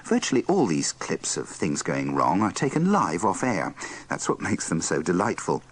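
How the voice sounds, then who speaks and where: A man's voice: low voice, sing-song, normal speed, husky. A man presenting a scripted compilation with wry, dryly teasing asides and rhetorical questions. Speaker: presenter of a radio bloopers compilation. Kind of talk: scripted wry linking narration.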